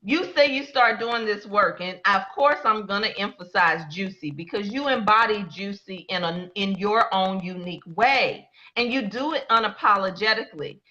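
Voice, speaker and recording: A woman's voice: mid-range voice, moderate pace, hardly any pauses, sharp tone, highs cut off. A woman hosting an interview, who keeps affirming and encouraging her guest. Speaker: interview host. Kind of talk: casual interview.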